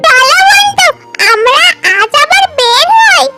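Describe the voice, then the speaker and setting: Tiny squeaky cartoon hen. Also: female voice, animated, takes it slowly, pushed and bright, soft background music, full character voice. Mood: friendly. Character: confident, clever, friendly hen. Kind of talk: children's cartoon dialogue.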